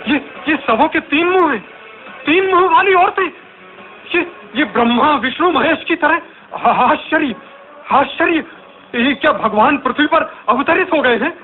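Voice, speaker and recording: Frightened, high-pitched stammering man. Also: expressive, moderate pace. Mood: awed, frightened. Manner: stammering, repeating words, exclamatory. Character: frightened, astonished human man facing terrible apparitions. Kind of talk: radio drama acting. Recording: tinny phone-like audio with music.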